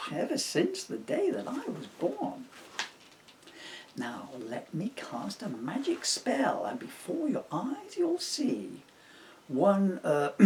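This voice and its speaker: Casting: man playing upbeat magic unicorn for a storybook, reassuring joker, very wide pitch swings, unhurried. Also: a little mellow, a little hiss or hum, full character voice.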